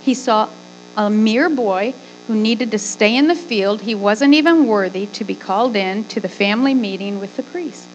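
A woman's voice: medium pitch, moderate pace, highs cut off, slight background noise. A middle-aged woman preaching conversationally as a pastor, with emphatic, folksy delivery, rhetorical questions and repeated phrases. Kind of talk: conversational sermon with acted-out asides.

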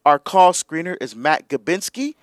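Relaxed talk-radio host, higher voice. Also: male voice, normal speed, dry recording, clean studio sound. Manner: relaxed explaining with fillers and laughs.

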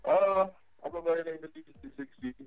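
A man in his forties, born in Trinidad and Tobago, talking by phone as a guest DJ in a casual interview, loud and animated as he tells his story. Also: high voice, moderate pace, vocal fry, clear, resonant tone, dull audio, faint music behind. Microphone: phone line.

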